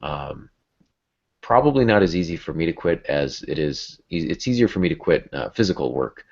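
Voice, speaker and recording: Very deep-voiced man hosting a podcast. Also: big pitch swings, moderate pace, gravelly. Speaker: podcast host. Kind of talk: casual conversational podcast talk. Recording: dull lo-fi podcast audio.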